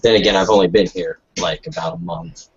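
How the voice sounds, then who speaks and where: A man's voice: low voice, sing-song, brisk, gravelly. A man playing a tabletop roleplaying game, speaking casually in character over a lo-fi voice chat. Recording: dull lo-fi voice chat, faint noise, clicks.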